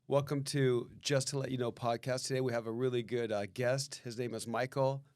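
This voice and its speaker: A man's voice: medium pitch, flat delivery, fairly quick, a little mellow, no room sound, studio quality. A man hosting a podcast in a casual, joking way, introducing his guest and asking questions. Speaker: podcast host.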